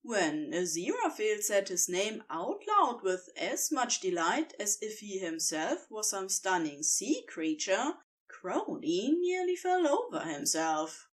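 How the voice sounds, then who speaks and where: Female audiobook narrator for fiction, very slow and expressive, dramatic emphatic pauses, slightly distant from mic. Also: mid-range voice.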